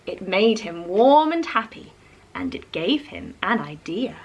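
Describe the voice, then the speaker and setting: Slow, animated woman reading a picture book. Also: mid-range voice, slightly rough, poor audio. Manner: animated, addresses young listeners. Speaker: children's author and storyteller. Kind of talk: expressive read-aloud of a rhyming picture book.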